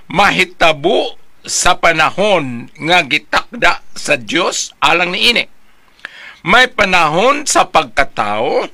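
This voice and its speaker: High-pitched, bright older Cebuano man. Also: no echo. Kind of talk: casual chat with storytelling and scripture quoting.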